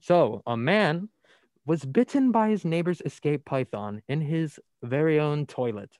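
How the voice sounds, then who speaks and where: A man's voice: a little high, animated, measured pace, darkish tone, no echo, clean studio sound. A young man from New Jersey hosting a casual college radio news chat, reading stories aloud in a playful, upbeat way. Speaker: radio show host.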